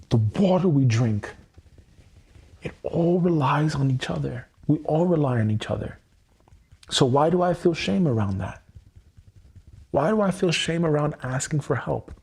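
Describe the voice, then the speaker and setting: Husky-voiced man voicing affirmations. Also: fairly high pitch, darkish tone. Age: 30s. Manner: earnest, repetitive affirmations with frequent swearing. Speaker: EFT tapping livestreamer. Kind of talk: spoken self-affirmation.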